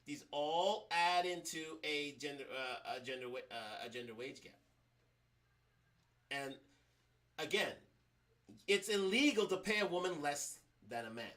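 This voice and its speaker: Guy streamer, kinda high bright voice, stumbles and restarts a lot while reacting to clips. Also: animated. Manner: halting, many restarts and fillers. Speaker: livestream host. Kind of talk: casual commentary over played clips.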